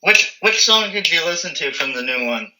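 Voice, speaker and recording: A man with a very bright, sharp voice, a bit high for a man, chats easily as an interview guest. He often stumbles at the start of a sentence, then speaks on in a relaxed way. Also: moderate pace, low-quality recording. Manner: easygoing, stammers on starts. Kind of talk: casual chat.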